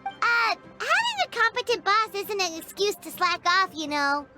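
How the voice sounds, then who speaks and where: A woman voicing a small, excitable, cheeky fairy companion in an animated game, with a squeaky childlike voice. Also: normal speed, heavily acted. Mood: skeptical. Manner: perky, refers to self in third person. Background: prominent background music.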